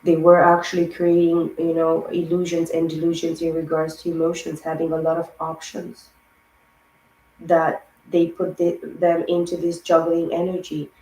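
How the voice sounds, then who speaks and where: A woman giving a rambling spiritual tarot reading, hoarse and losing her voice, piling up words in long lists. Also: low-pitched, monotone, normal speed, warm tone, echoey room, a little hiss or hum, low-quality recording. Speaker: tarot reader.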